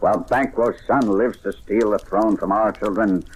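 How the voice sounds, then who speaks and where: A man's voice: fairly low pitch, steady tone, normal speed, slightly rough. An actor playing a tormented, ruthless usurper king in a theatrical, declamatory old radio drama style. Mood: brooding, menacing. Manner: theatrical, declamatory, swinging from hushed brooding to cries. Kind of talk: classic radio drama acting. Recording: noisy old radio recording.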